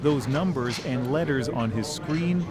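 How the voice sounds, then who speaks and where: A man's voice: mid-range voice, unhurried, a loud crowd. A man with a Canadian accent working as a TV news reporter, delivering scripted narration and interview questions.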